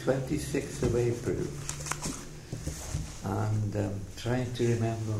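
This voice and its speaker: Creaky older Welsh man reminiscing, echoey room. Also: fairly low pitch, fairly even intonation. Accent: slight Welsh. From South Wales. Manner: halting, reflective storytelling. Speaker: interviewee telling life story. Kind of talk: oral history reminiscence. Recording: echoey room, faint background noise.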